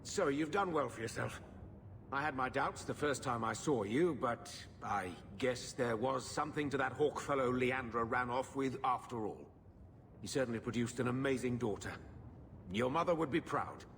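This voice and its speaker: An actor playing a plain-spoken, grudgingly affectionate middle-aged uncle in a fantasy game. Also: male voice, medium pitch, normal speed, a bit bright, lightly acted. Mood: grudgingly fond. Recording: long reverb, faint background noise.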